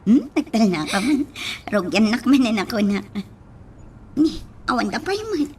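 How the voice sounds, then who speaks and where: A woman's voice: a little high, very animated, normal speed, a little mellow, breathy edge, recorded from a distance. A woman playing a coy, snooty young woman in a radio drama. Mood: coy, amused.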